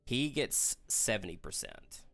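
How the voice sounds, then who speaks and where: A man in a casual group chat, explaining a story haltingly with self-corrections. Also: fairly low pitch, very animated, fairly bright tone. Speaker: podcast host.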